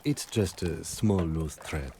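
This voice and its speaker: An actor playing a composed, methodical police lieutenant in a video game, speaking with restrained, procedural precision. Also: male voice, a bass voice, takes it slowly, creaky, very noisy, exaggerated voice. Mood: composed, businesslike. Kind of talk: scripted game dialogue.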